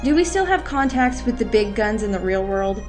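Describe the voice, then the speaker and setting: An actress playing a weary, commanding leader in an audio drama, with firm, dryly sarcastic delivery. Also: female voice, mid-range voice, lively intonation, moderate pace, over music, close to an everyday voice. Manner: firm, dry, sardonic.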